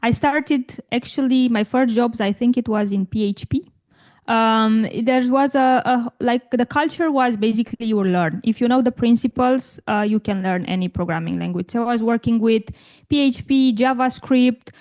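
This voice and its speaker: A woman's voice: on the high side, normal speed, darkish tone. A woman from Romania in her thirties, a guest on an interview podcast, answering thoughtfully with frequent restarts and fillers. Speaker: guest, tech career coach.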